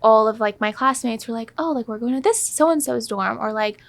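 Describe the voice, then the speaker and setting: A woman's voice: a little high, a little fast, creaky. A woman in her twenties co-hosting a podcast, talking casually with many fillers. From born in Iraq, raised in San Diego. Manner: chatty, lots of fillers. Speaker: podcast co-host. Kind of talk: casual chat.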